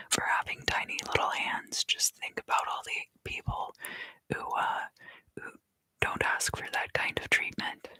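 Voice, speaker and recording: A woman whispering a soothing ASMR tarot reading in a breathy, mostly unvoiced voice, taking her time. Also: sharp tone, no echo, poor audio. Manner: soothing, unhurried.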